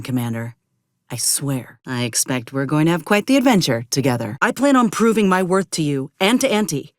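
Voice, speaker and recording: A woman's voice: low-pitched, no echo, studio quality. An actress playing an earnest, determined young woman soldier with folksy rural turns of phrase in a video game. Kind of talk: video game character dialogue.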